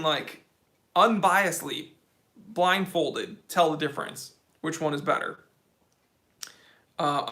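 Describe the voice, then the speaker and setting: A man hosting a casual live-stream Q&A, reading chat questions aloud and answering in a relaxed, slangy way. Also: fairly high pitch. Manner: laid-back, slangy, reads chat aloud.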